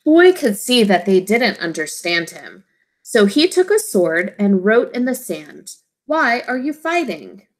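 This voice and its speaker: A woman teacher reading a children's storybook aloud, expressively and in simple, deliberate phrases for young listeners. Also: medium pitch, sing-song.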